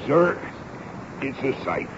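A gruff, gravelly army sergeant from a 1970s radio play. His delivery is animated, folksy and respectful of rank. The recording is dull and clearly noisy, like an old broadcast. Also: medium pitch, slow pace. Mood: exasperated. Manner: folksy, ungrammatical, deferential speech. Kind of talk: 1970s radio drama acting.

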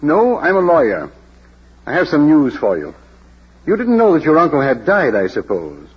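A formal, patronizing lawyer in a vintage radio drama. His voice is rough and husky, with lively rises and falls. The sound is muffled like an old broadcast. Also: a little high, moderate pace, exaggerated voice. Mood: suave. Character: smooth, condescending male lawyer. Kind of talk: 1940s radio drama acting.

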